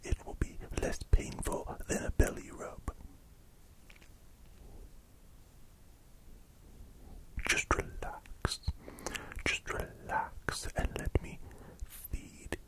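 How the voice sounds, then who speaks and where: A performer acts out a vampire dog count for a sleepy ASMR ramble. Every phrase is whispered close to the mics and drawn out unhurriedly, often repeated. The count is soothing and playful, with a gentle hint of menace. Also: natural voice. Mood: coaxing, gently menacing. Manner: slow, repetitive, hushed whispering. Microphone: close pair of microphones.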